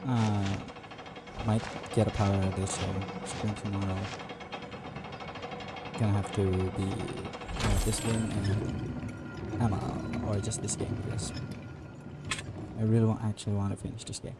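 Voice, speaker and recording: A game streamer chatting casually in a halting way, muttering to themselves. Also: sits low, little pitch movement. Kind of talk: casual livestream chat. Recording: loud game music behind. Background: game music.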